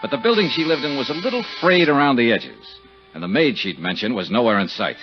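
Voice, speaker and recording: An actor playing a cynical, hard-boiled American private investigator in 1950s radio drama style, with a sardonic, slangy, wisecracking manner. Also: male voice, medium pitch, animated, a little fast, over music, natural voice. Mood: cool narrating. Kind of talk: 1950s radio detective drama acting and narration. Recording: muffled, hissy 1950s radio recording.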